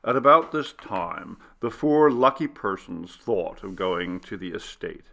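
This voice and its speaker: A man reading a classic Gothic story as scripted narration, in a theatrical, deliberate, literary manner. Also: low voice, animated, gravelly, a bit bright. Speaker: audiobook narrator.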